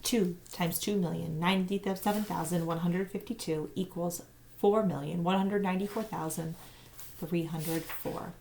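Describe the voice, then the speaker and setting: Female teacher-narrator, slightly low register, expressive storytelling for young listeners. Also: normal speed, gravelly, slight background noise, low-quality recording. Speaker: teacher reading aloud. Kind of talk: children's novel read-aloud narration.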